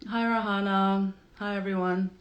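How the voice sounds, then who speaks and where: A woman's voice: medium pitch, fairly even intonation, moderate pace, muffled recording. Chatty woman hosting a video call. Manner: chatty, laughing often, many fillers. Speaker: podcast host. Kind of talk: casual live video interview. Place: home in New York.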